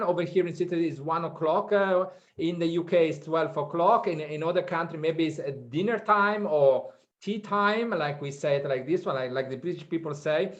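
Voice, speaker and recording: A man hosting a workshop from Sydney over a video call, speaking haltingly with many fillers and non-native phrasing. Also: on the high side, a bit bright. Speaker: workshop host. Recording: dull lo-fi call audio.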